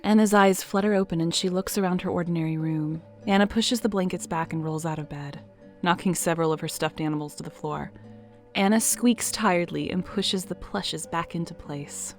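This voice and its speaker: A woman's voice: fairly low pitch, normal speed, faint music behind. Woman narrating an audio drama.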